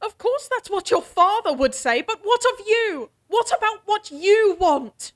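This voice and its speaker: A woman voicing a defiant young noblewoman with a high, bright-pitched voice. She speaks sharply and pushes back hard against a prince. The tone is quick-witted and challenging. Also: animated. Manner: sharp, challenging, flustered when caught. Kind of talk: fantasy audio drama acting.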